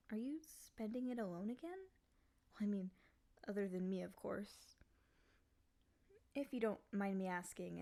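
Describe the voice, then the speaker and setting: A woman voicing a lonely, clingy female demon in a soft, often whispery voice, coaxing and pleading in an intimate ASMR roleplay. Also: fairly high pitch. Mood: tender concern.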